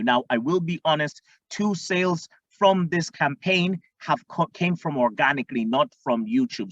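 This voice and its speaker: A man from Tanzania, a marketing coach, teaching in an energetic way and often checking in with the listener. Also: fairly high pitch, no room sound. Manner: energetic, repeats "okay?" often. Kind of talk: instructional screen-share talk. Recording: dull lo-fi dry sound.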